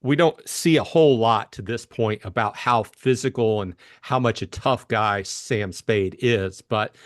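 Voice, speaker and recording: A man's voice: on the low side, measured pace. A man narrating a classic detective novel and discussing it as co-host. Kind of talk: scripted story narration and book discussion.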